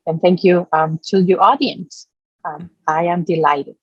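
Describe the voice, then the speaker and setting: A middle-aged woman from Puerto Rico with a Puerto Rican accent, a native Spanish speaker, talking haltingly as an interview guest. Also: fairly low pitch, fairly even intonation, slightly rough, dry recording. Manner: halting, searching for words. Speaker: interview guest, business association founder. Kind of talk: conversational personal storytelling. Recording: slightly dull, lo-fi sound.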